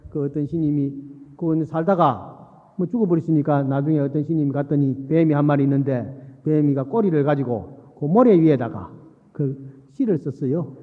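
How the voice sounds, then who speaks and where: Male monk delivering a folksy sermon, slightly high-pitched voice, long hall reverb. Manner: folksy, repeats phrases for emphasis, checks in with listeners. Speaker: Buddhist monk giving a dharma talk. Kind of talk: Buddhist sermon with storytelling. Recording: muffled phone-like sound in long-reverb hall.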